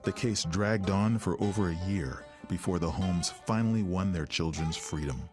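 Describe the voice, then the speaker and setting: Very deep-voiced male documentary narrator over music. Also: moderate pace. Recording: music behind the voice. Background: background music.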